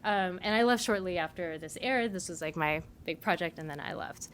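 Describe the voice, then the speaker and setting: A woman's voice: lowish voice, fairly quick, fairly bright tone. A woman in her thirties, raised in South Korea with Korean as her first language, speaks to a small audience at a meetup. She talks in a loose, chatty way, filling gaps with "like" and turning to the room with "right?". A faint hiss sits under her voice. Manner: chatty, frequent "like" and "right?", laughs between points. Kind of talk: live conference talk.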